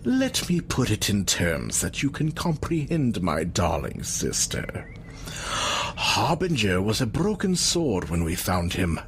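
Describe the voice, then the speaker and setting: An actor playing an arrogant reptilian scientist in an audio drama, articulate, theatrical and condescending. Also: male voice, fairly low pitch, huge pitch swings, slow, a little hiss or hum, exaggerated voice. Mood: smug, explanatory.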